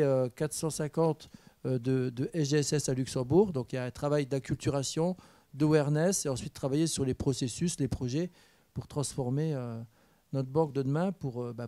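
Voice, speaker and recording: Man, French, panel speaker, mellow voice, dry sound, filler-heavy, joking. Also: mid-range voice, dry recording. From France. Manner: relaxed, joking, many fillers. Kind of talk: conference panel talk. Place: university conference room. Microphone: handheld mic.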